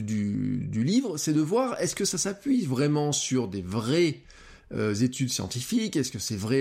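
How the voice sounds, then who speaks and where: Lively, hesitant podcast host thinking aloud. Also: male voice, on the high side. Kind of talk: solo podcast monologue. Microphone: close podcast microphone.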